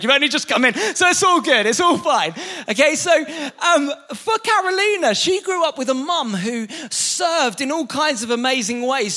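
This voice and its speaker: Young preacher, high bright voice, lively and jokey, stumbles over restarts. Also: male voice. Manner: energetic, joking, many restarts. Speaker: pastor. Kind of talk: sermon. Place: church hall with live congregation.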